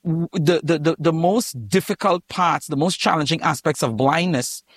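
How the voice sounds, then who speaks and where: A man's voice: fairly high pitch, moderate pace, no echo. A man from Trinidad with a Trinidadian accent, a pastor being interviewed, telling stories with humor. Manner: humorous, animated, laughs at own jokes. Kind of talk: interview guest, casual storytelling.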